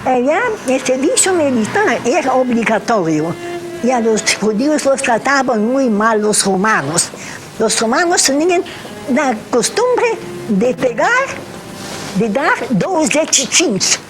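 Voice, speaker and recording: An older woman interviewed for a documentary, speaking slowly with hesitant fillers. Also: high-pitched, big pitch swings. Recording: noisy ambient recording.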